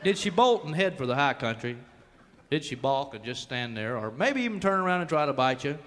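A man's voice: mid-range voice, very animated, a little fast, fairly bright tone, long reverb tail. An actor playing an earnest, folksy cowboy spokesman in a parody old-time radio commercial.

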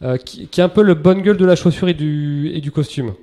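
A relaxed man in his thirties tells his story as a podcast guest, full of slang and self-corrections. His voice sits a little high for a man yet sounds mellow and dark. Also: a little fast. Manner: relaxed, chatty, lots of restarts and slang. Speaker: interview guest, entrepreneur. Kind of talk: casual podcast interview.

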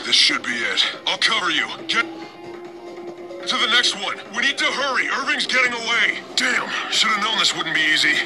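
A man's voice: sits high, very animated, moderate pace, over music. An actor playing a tough, determined male agent in video game dialogue. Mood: urgent.

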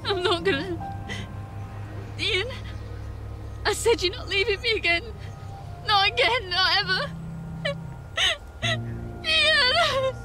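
An actress playing a frightened, defiant estranged wife in a radio drama, speaking with urgent command. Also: female voice, extremely high pitch, animated, slow pace, long pauses, music in the background, full character voice. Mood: tender, desperate.

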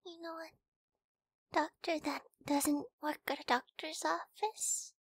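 A woman playing a timid, sweet, wary young wolf girl in an ASMR roleplay, speaking softly and hesitantly, often in whispers, close to the microphone. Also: high voice, little pitch movement, takes it slowly, no room sound. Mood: doubtful, then trusting. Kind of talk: ASMR girlfriend audio roleplay.

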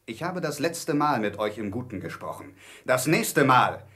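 An actor playing a stern, defiant sea captain in a radio play, clipped and authoritative with a threatening manner. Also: male voice, mid-range voice, sing-song, normal speed, fairly bright tone.

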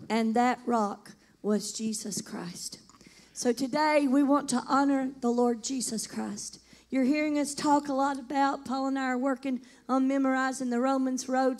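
An older woman worship pastor, leading a scripture reading and prayer in a church sanctuary with a loud, fervent voice on a handheld mic. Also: fairly high pitch.

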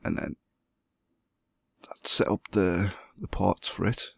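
A hoarse man who has the flu, walking through a computer setup step by step as the host of a gaming channel. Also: low-pitched, takes it slowly, no room sound. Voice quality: gravelly, husky. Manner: plain step-by-step explaining. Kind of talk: screen-recorded tutorial.

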